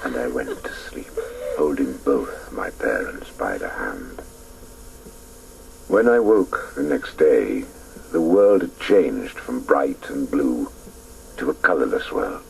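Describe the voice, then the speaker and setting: A man looking back on boyhood in a radio play. His voice is very low and gravelly, and he narrates slowly and wistfully. The old broadcast recording is clearly noisy. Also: sing-song, recorded from a distance, heavily acted. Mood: wistful recollection. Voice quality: deep, gravelly. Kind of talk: dramatic radio play narration, first person.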